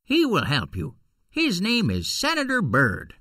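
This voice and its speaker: Woman voicing a calm, low-pitched helpful gentleman. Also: measured pace, no echo, clean studio sound, heavily acted. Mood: reassuring. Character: helpful, reassuring gentleman friend.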